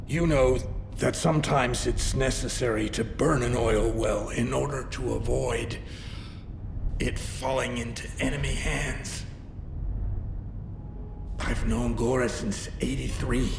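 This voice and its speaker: A man playing a wounded, grave middle-aged military commander in a video game, gravelly and deliberate, with emphatic dramatic pauses. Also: mid-range voice, steady tone. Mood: grave, measured. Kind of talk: cinematic video game acting. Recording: echoey reverberant hall, music underneath.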